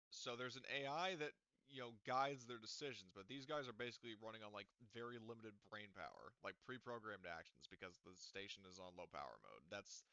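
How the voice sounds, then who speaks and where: A man's voice: lowish voice, pushed and bright, clean tone, no room sound. A man running a tabletop roleplaying game as game master, talking casually in rambling runs full of fillers, restarts and the odd swear word. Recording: dull lo-fi recording, dry.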